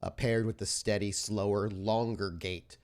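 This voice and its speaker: A man runs a tabletop roleplaying game as storyteller. His voice sits on the low side. He improvises detailed scene description, halting now and then to find the next phrase. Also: steady tone.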